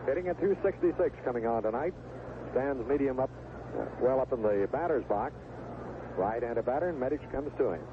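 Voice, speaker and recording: A man's voice: medium pitch, lively intonation, brisk, phone-quality sound. A man calling baseball play-by-play live on the radio, heard through a noisy old broadcast recording with stadium ambience behind him. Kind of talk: live radio sports commentary.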